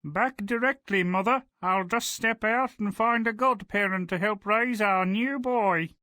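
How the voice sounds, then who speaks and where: A man's voice: sits high, measured pace, pushed and bright, dry recording, studio quality. A man playing a brash, hapless poor father in a fairy-tale video game, whining and theatrical. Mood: blunt.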